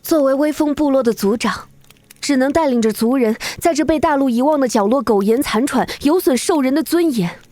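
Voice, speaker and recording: A woman voicing a dignified, world-weary female chief of a beast-folk tribe in an animated fantasy, measured and grave. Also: high voice, lightly acted. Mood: weary, resolute. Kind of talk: animated fantasy drama acting.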